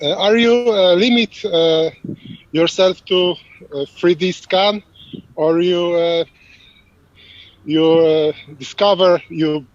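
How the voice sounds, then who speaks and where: Halting Polish caller on a dull line. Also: male voice, high voice, no room sound. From Poland. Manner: halting, searching for words. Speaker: caller asking a question. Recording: dull remote call audio.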